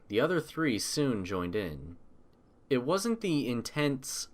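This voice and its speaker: Expressive male audiobook narrator. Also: lowish voice.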